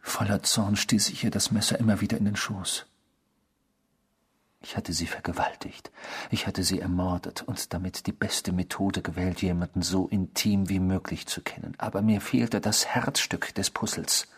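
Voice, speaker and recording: An actor in a German radio drama playing a self-satisfied, cynical killer who talks in a mocking, theatrical way. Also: male voice, fairly even intonation, moderate pace, slightly rough. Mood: chilling confession. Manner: mocking, theatrical, swinging from hushed to shrill.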